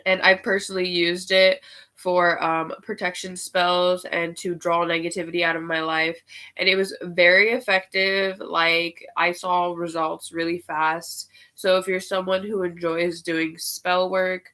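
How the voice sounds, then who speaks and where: Nasal woman with a cold, bright voice. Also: lowish voice, flat delivery. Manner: unhurried, with many "um" fillers. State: sick with a cold. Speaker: spiritual reader and live streamer. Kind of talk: live-streamed spiritual guidance and guided meditation.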